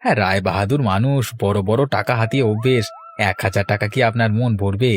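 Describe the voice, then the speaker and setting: A man's voice: on the low side, a little fast, a little hiss or hum, natural voice. A man voicing a sly, greedy village man in a cartoon, with a wheedling, insinuating manner. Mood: sneering. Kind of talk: cartoon voice acting.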